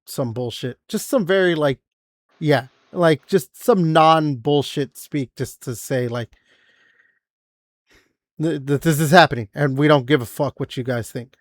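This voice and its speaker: A man's voice: medium pitch, a little mellow, dry recording, clean studio sound. A man in his forties hosting a casual gaming podcast, rambling with lots of fillers and the odd swear. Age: 40s. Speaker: podcast host. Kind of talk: casual gaming news chat.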